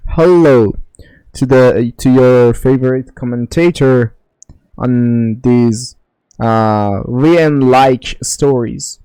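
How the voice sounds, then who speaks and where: A man giving casual let's-play commentary, congested, with halting, drawn-out, hesitant phrasing, close on the microphone. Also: medium pitch, mellow, clean tone, poor audio. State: congested nose, coughing. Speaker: streamer giving commentary over a game.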